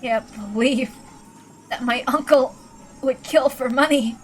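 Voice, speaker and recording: A woman's voice: high-pitched, measured pace, faint music behind, voice pushed up, low-quality recording. A woman voicing a frightened, wounded young man in a visual novel, pleading breathlessly through tears and pain. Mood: hurt, disbelieving. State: crying in pain. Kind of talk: dramatic visual novel character reading.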